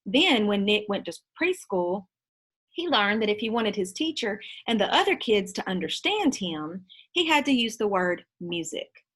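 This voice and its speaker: A woman with a medium-pitched voice reads to children as their teacher. She moves between storybook narration and plain explanation, giving reminders along the way. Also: very animated, normal speed, a bit bright, breathy edge. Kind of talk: children's book read-aloud with explanations to pupils.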